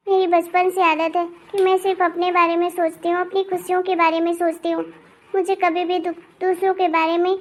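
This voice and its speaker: A woman voicing a hot-tempered, demanding young married daughter in an animated family drama, with a sharp-tongued way of talking. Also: very high voice. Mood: apologetic.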